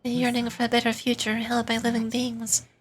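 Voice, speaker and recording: A woman voicing a calm, dignified female ruler as she reads game dialogue aloud, formal, measured and reflective. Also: a little high, lightly acted. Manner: formal, measured, occasional misreadings. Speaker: VTuber streamer.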